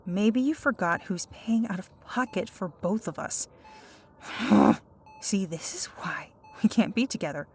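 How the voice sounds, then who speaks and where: A woman's voice: mid-range voice, animated, slow pace, a little hiss or hum. A woman playing an exasperated superhero laid up in hospital in an audio roleplay, speaking with dry sarcasm. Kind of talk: ASMR roleplay audio drama.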